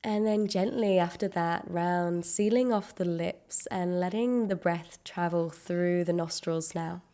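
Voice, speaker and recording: A woman leading a guided meditation, giving gentle, unhurried instructions with long pauses. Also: on the low side.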